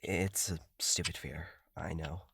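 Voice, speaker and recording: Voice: sits low, fairly even intonation, measured pace, gravelly, fairly bright tone, slightly rough, no echo, clean studio sound, heavily acted. An actor playing an anxious, sullen agender psychiatric patient in an audio drama, speaking in a hesitant, guarded way.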